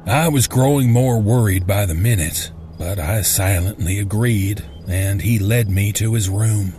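A man narrating a scripted first-person horror story in a deliberate, suspenseful manner. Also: fairly low pitch, background noise.

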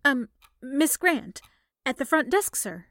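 A woman's voice: high voice, sing-song, slow pace, a bit bright, no room sound, studio quality, full character voice. A woman playing a polite, eager young new employee in an old-time radio play, courteous and a little tentative. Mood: nervous, deferential.

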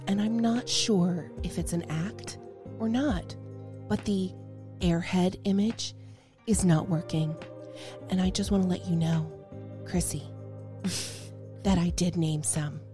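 A woman's voice: fairly low pitch, warm tone. A woman co-hosting a casual chat podcast, playful and self-deprecating, with a free, sweary way of talking. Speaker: podcast co-host.

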